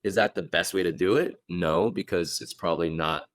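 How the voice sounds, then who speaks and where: A man's voice: low-pitched, moderate pace, no echo, clean studio sound. A young adult man from Hong Kong, a fitness coach guest talking casually on a podcast and often checking in with "right?".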